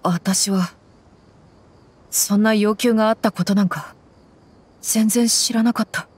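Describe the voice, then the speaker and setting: An actress voicing a tough, proud young mercenary woman in a game dub, blunt and rough-spoken. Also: mid-range voice, fairly even intonation, measured pace, darkish tone. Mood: disbelieving.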